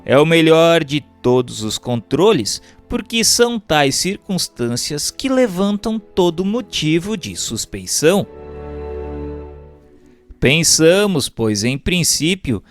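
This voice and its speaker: Brazilian man, higher-pitched voice, slow formal book narration, careful didactic delivery, music behind. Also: very animated. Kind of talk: scripted narration, reading a book aloud.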